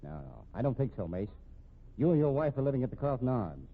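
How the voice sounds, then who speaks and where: An actor playing a wry, dogged police captain with a folksy, probing manner in a 1950s radio detective drama. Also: male voice, medium pitch, lively intonation, quick pace, creaky, phone-quality sound. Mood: coolly accusing. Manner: folksy, hesitant probing with casual uh and hmm. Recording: thin, band-limited 1950s radio recording.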